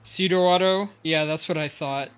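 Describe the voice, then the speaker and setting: A livestreamer talks casually with viewers while playing a game. The voice is mid-pitched, and the manner is curious and inquisitive, like someone thinking out loud. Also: fairly even intonation, moderate pace, clear, resonant tone, faint music behind.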